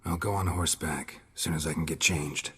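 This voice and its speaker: An actor playing a gruff, world-weary monster hunter in a video game, terse and deadpan in his sarcasm. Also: moderate pace, full character voice. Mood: wry, deadpan. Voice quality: gravelly, husky low voice. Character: gruff, world-weary male monster hunter, middle-aged, dry and sardonic.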